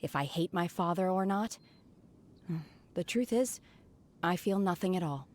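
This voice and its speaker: Aloof young female game character, played by an actress: low-voiced, solemn, emotionally flat in manner, measured. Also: natural voice. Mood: detached. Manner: dispassionate, measured. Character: detached, solemn young woman raised by immortals. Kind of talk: video game voice acting.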